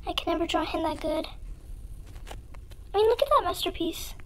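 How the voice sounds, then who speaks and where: Very high, youthful voice-changed gamer. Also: fairly quick, a bit bright, slight background noise, low-quality recording. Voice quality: high-pitched, youthful voice, altered with voice effects. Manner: dramatic, self-deprecating, playful. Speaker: gaming video creator. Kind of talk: casual gameplay commentary.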